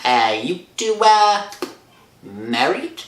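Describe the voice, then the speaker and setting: A woman's voice: lowish voice, sing-song, extremely slow, exaggerated voice. A woman playing a casual, skeptical police officer in an old-time radio drama, offhand and wry. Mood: amused. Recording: echoey room, faint music behind.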